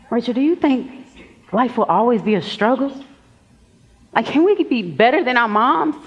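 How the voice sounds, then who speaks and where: A woman's voice: fairly high pitch, lively intonation, a little slow, long pauses, husky. A woman playing a possessive, street-talking teenage best friend in a stage play. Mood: downcast, searching. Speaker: stage actor. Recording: dull lo-fi stage recording.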